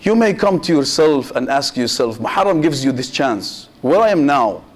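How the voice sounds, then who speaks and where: A man, a sheikh giving a Muharram sermon, speaking in short measured phrases with pauses, addressing listeners directly and dropping Arabic religious phrases into his English. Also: mid-range voice, big pitch swings. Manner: addresses listeners directly and asks rhetorical questions.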